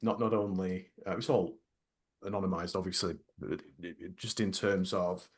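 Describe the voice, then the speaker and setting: Low-voiced man, halting and repetitive. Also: steady tone. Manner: hesitant, many repeated words and restarts. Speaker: podcast co-host. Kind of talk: casual workplace podcast chat.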